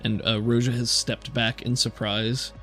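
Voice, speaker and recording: A man's voice: medium pitch, level tone, unhurried, a bit bright, soft background music. A man narrating an improvised tabletop game as game master, in conversational, hesitant phrasing.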